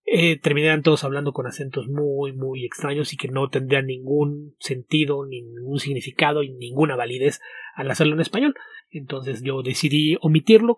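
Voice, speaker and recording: A man, co-hosting a podcast and explaining his translation work in a steady way, restarting his words often. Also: mid-range voice, husky, no room sound. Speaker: podcast co-host, comic translator. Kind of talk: casual podcast chat.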